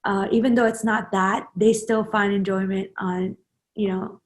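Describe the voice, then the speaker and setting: A woman's voice: medium pitch, level tone, measured pace. A woman in her twenties, a guest in a casual interview, talking in a rambling way with many fillers and restarts. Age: 20s.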